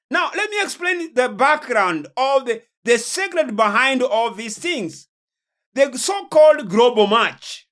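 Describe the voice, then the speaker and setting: A preacher giving a sermon-like religious lecture in a deliberate, emphatic way, repeating phrases. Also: mid-range voice, a bit bright.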